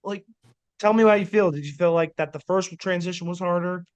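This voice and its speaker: A man's voice: high voice, a little fast, clean tone, highs cut off. A man hosting a podcast interview, asking eager, loose run-on questions. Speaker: podcast host.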